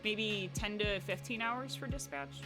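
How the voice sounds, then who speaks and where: A woman's voice: medium pitch, unhurried, over music. A young woman with a Midwestern accent talking casually to her stream chat in a rambling way, full of "like" and restarts. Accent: Midwestern American. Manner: chatty and rambling, heavy on "like" and restarts.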